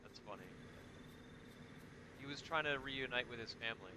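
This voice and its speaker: A man's voice: medium pitch, moderate pace. A man with a Michigan accent, chatting casually and laughing while playing a game with friends. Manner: relaxed, chatty, laughs easily. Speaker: host. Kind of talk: casual multiplayer gaming chat. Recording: noisy game capture.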